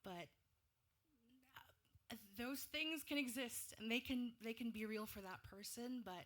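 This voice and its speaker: A woman from the Philippines, a playwright on a discussion panel, answering audience questions in a thoughtful, halting way. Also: fairly high pitch, a bit bright. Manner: thoughtful, halting, searching for words.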